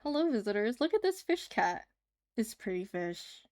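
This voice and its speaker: A woman's voice: fairly high pitch, big pitch swings, normal speed, darkish tone. A woman livestreaming as she draws, chatting casually and giggling as she answers her chat. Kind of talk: casual chat while drawing on stream.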